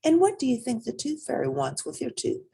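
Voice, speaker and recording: A woman voicing a kindly, indulgent father rabbit in a storybook, bemused and gently firm. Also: a little high, huge pitch swings, a little fast, gravelly, breathy edge, pitched above the performer's own voice, full character voice.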